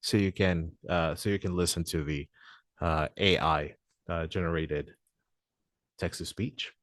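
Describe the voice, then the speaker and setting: Deep-voiced man presenting a webinar. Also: fairly even intonation, measured pace, dry recording, clean studio sound. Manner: hesitant, frequent "uh" fillers. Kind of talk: software demo walkthrough.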